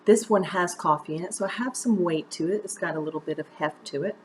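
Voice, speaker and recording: A woman's voice: lowish voice, quick pace, slight background noise. A woman drama teacher giving a conversational, encouraging step-by-step lesson on a home-recorded video. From United States. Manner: conversational, encouraging step-by-step instruction with direct questions. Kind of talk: instructional video mini-lesson.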